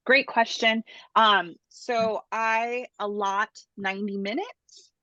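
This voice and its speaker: A woman's voice: fairly high pitch, steady tone, takes it slowly, pushed and bright, dry recording. A woman hosting a casual online Q&A class, talking informally over a video call. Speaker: host of a book publishing Q&A class. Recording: dull lo-fi video call audio.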